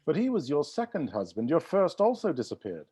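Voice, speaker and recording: A man playing a fussy, pedantic, accusing butler in a script table read, with a husky voice. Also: fairly high pitch, wildly swooping pitch, a little fast, warm tone, full character voice. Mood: pointed, knowing. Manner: pedantic, pointed, theatrical. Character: fussy, pedantic, accusing male butler hosting suspicious dinner guests.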